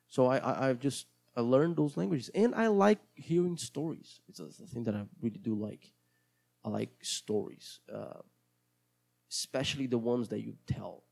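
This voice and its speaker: Gravelly-voiced Brazilian man rambling casually. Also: medium pitch, darkish tone. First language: Portuguese. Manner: hesitant, rambling, many restarts. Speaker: podcast host. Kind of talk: casual solo podcast monologue.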